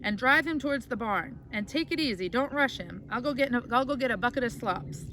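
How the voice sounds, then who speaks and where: A woman voicing a farmer who calmly instructs his hired man. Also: fairly high pitch, fairly quick, a little hiss or hum. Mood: instructing. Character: farmer giving calm instructions.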